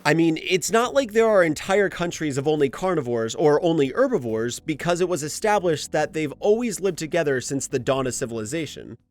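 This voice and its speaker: A man's voice: on the high side, expressive, fairly quick, few pauses, darkish tone. A man hosting a lore video essay in an informal commentary style, often posing rhetorical questions.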